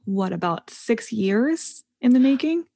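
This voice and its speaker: A woman's voice: fairly high pitch, animated, measured pace, creaky, dark tone, no echo, clean studio sound. A woman co-hosting a podcast, asking encouraging questions in casual chat. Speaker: podcast co-host.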